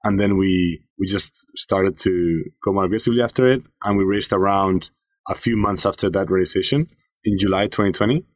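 Spanish-born guy, lowish voice, rattles on full of fillers, dry close mic. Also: fairly even intonation, dry recording. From Spain. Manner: fast, filler-heavy, frequent restarts. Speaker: startup CEO guest. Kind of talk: conversational podcast interview.

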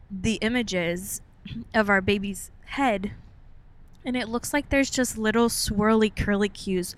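A young American woman with a medium-pitched voice talks casually as a podcast co-host. She tells stories in a chatty, informal manner, with playful turns of phrase. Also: clear, resonant tone. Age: young adult. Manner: informal, chatty, uses playful made-up words. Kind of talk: casual chat, personal storytelling.

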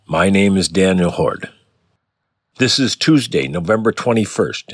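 Male pastor for devotional podcast; husky voice, formal scripture-reading delivery, very dry sound. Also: low voice, no echo.

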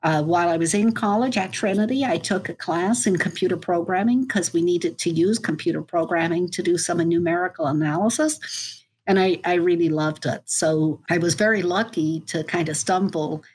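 A woman in her fifties from Ireland with an Irish accent, speaking as an interview guest about her career in a measured, reflective way. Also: on the low side, darkish tone, slightly rough, poor audio. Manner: measured, reflective, with small restarts. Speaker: university IT executive, guest. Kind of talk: conversational interview.

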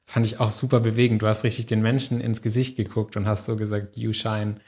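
A man's voice: on the low side, flat delivery, fairly quick. A man hosting a podcast interview, asking questions casually and hesitantly, with fillers. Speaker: podcast host.

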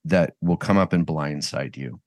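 A man's voice: extremely low pitch, moderate pace, dry recording, clean studio sound. A man co-hosting a podcast, talking candidly in casual chat, swearing freely and laughing often. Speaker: podcast co-host.

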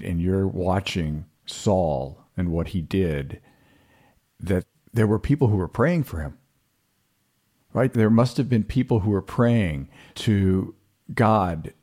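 A man's voice: sits low, dark tone. A man hosting a podcast interview, speaking in a reflective, appreciative way. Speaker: podcast host.

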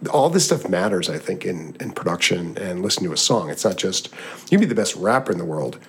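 A man with a low voice interviewing a rapper in casual chat, excitable and quick to restart his sentences. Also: slight background noise.

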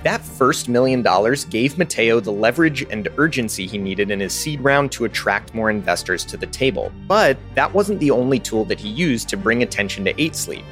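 A man reading scripted narration for a business podcast in a polished, even delivery. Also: on the low side.

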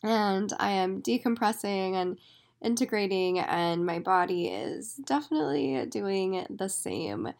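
A woman hosting a solo astrology podcast, her voice raspy and hoarse, speaking in an enthusiastic, effusive way. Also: mid-range voice, sing-song, unhurried, darkish tone. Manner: enthusiastic, effusive, talks in spiritual terms. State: hoarse after days of yelling. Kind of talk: casual solo podcast monologue.

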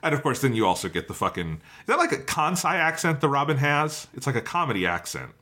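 A man's voice: mid-range voice, sing-song, quick pace, bright, forceful tone. A man co-hosting a podcast, chatting casually about a review in an informal, amused way with some swearing. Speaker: podcast co-host.

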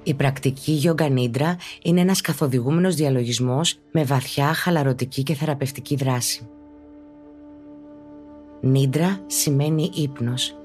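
A woman speaking as a yoga teacher and podcast host, guiding a meditation in a calm, instructive, deliberate way. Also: extremely low pitch. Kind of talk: guided meditation narration.

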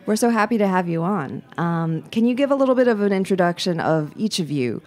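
A woman's voice: medium pitch, animated, fairly quick, a little mellow. A woman co-hosting a casual food talk show, upbeat and chatty. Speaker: podcast co-host. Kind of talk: casual chat.

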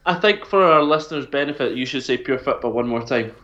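A man hosting a football podcast, friendly and relaxed, calling his guest mate. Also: medium pitch, a little fast. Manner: friendly, laughs easily, says mate. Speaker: podcast host. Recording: slightly dull audio.

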